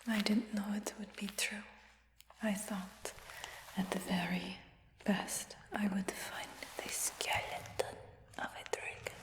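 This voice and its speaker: A woman plays a curious dragon hunter in a fantasy ASMR roleplay, speaking in a soft, breathy whisper at an unhurried, slow pace. The whisper hangs in a roomy space with a long, lingering reverb. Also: medium pitch, little pitch movement, close to an everyday voice. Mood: hushed, curious. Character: curious human dragon hunter who captured a dragon.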